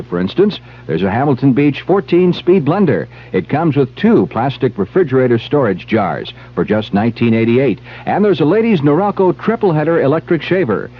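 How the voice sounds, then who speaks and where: On a dull old radio recording, a man reads an advertisement. His husky, mid-pitched voice has lively intonation and a scripted announcer's delivery.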